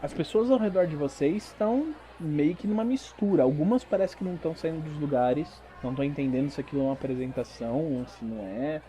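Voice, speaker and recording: A Brazilian man working as game master, narrating a tabletop RPG audio drama with dramatic pauses and informal asides. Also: a little high, mellow. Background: background music.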